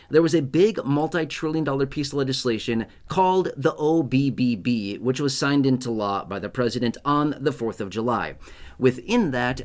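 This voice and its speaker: A man hosting an explainer video in a casual, conversational way, often repeating and rephrasing points for emphasis. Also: mid-range voice. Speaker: host of a benefits news channel. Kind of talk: casual explainer talk to camera.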